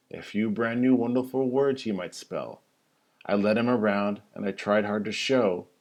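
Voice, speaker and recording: A man reading a children's rhyming picture book aloud like a teacher, with a theatrical, singsong delivery and drawn-out emphasis. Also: mid-range voice, darkish tone.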